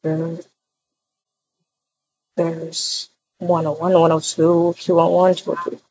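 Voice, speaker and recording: Woman as laid-back young man, lowered voice, soft, brief low-key delivery, obliging, dull game roleplay recording. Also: level tone, takes it slowly, slight background noise. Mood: calm, low-key. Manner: quiet, low-key, terse. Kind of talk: improvised video game roleplay.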